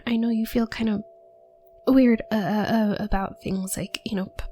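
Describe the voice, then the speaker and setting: A woman playing a shy, anxious, apologetic young witch student in an audio roleplay, with a soft, breathy voice and a heavy nervous stutter. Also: mid-range voice, fairly even intonation, moderate pace, a little hiss or hum, close to an everyday voice. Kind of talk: intimate audio roleplay acting.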